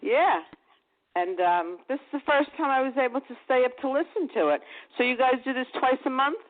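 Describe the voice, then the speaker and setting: A woman's voice: high-pitched, brisk. A woman with a New Jersey accent calling in to a talk show, friendly and chatty. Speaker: caller. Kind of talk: casual chat. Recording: phone-like call audio.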